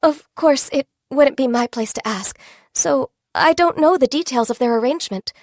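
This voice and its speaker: An actress playing a gentle, modest young woman secretary in a video game, soft-spoken, polite and hesitant, easily flustered. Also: sits high, normal speed, no echo, clean studio sound. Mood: thoughtful, fond. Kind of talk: scripted video game character voice lines.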